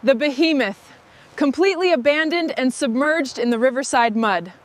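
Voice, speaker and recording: A woman's voice: sits high, expressive, moderate pace. A woman hosting a vehicle restoration video, speaking short scripted commentary on the work as it goes.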